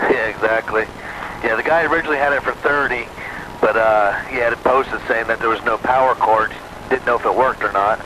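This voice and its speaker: A man with a mid-pitched voice talks casually over CB radio as a hobbyist. Clear static-like noise runs behind his transmission. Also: a little fast.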